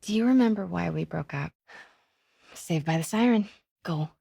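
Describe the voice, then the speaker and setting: A woman voicing a plucky, curious young American reporter in a video game, with a breathy voice and a natural, wry delivery. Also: lowish voice, lively intonation, normal speed, no echo, clean studio sound, exaggerated voice. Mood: wry, determined. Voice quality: breathy, often hushed.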